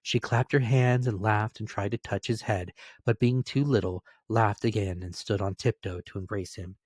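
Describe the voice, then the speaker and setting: Low-voiced man reading a classic story. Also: steady tone, moderate pace, darkish tone, slightly rough, dry recording, studio quality. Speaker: narrator. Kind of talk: dramatic storybook narration.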